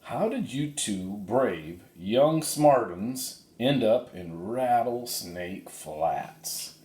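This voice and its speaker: A man voicing a tough but kindly storybook cowboy with folksy, drawn-out talk. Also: on the low side, expressive, warm tone. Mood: folksy, commanding. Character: plain-spoken, tough but kindly cowboy horse herder. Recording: roomy, echoey sound.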